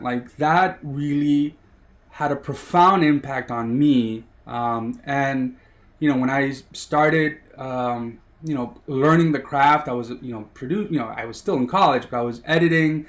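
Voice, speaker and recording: A man in his thirties from Miami, a sports producer telling his story as a guest, eager and rambling with many fillers. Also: medium pitch. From Miami, USA. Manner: eager, rambling, heavy on "you know" fillers. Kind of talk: casual interview storytelling.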